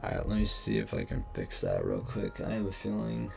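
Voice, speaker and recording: A young man with a hoarse, gravelly voice chats casually through a live stream, explaining each step of his photo editing and answering viewers. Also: deep voice, huge pitch swings, a little fast, music in the background. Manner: chatty, talks through each step, greets chat. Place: home room at a desk. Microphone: headset.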